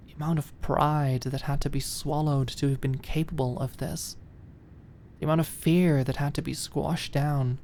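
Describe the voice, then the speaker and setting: A woman's voice: low-pitched, fairly even intonation, moderate pace, darkish tone, a little hiss or hum. A woman reading dramatic scripted narration as an audiobook narrator.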